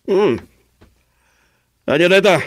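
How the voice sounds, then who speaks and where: A man's voice: high voice, expressive, slow, dry recording. A man playing a teasing fellow henchman who drinks and mocks his friend.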